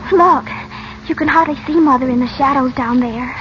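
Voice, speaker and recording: A woman playing an excitable, frightened but plucky young girl in a 1940s radio adventure, earnest and insistent. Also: high voice, normal speed, noisy background, lightly acted. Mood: nervous, plucky. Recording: dull vintage radio recording with music.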